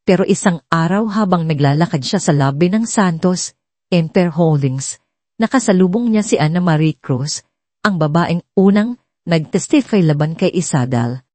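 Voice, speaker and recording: A woman reading scripted dramatic story narration in an earnest, measured manner. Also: lowish voice, animated, a little mellow, dry recording.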